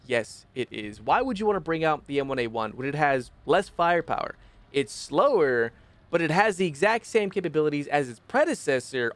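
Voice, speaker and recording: A young man with a phlegmy, rough voice commenting casually on a game, rambling and correcting himself as he gives his opinions. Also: on the high side. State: phlegmy, rough voice after illness. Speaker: gaming video host.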